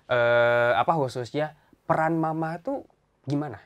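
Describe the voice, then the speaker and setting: A man's voice: a little high, a little slow. A man hosting a casual interview, informal, often murmuring agreement and echoing the answers he gets. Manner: frequent backchannels, echoes the guest's answers, informal. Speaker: interviewer, host.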